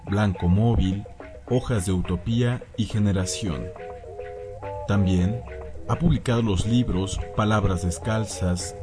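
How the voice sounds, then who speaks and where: A man reading a formal, scripted introduction as a radio program announcer. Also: fairly low pitch.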